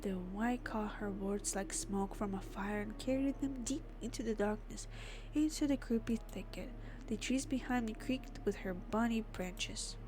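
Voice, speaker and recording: A woman doing a let's play, reading game text aloud and adding expressive, informal reactions. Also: medium pitch, moderate pace, background noise. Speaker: gamer, let's player. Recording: clear game music behind the voice. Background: game music.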